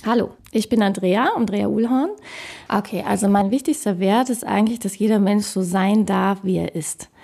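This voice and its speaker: A woman speaking thoughtfully about her values, pausing with fillers. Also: mid-range voice, creaky, dark tone. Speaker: interviewee. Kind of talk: casual personal statement.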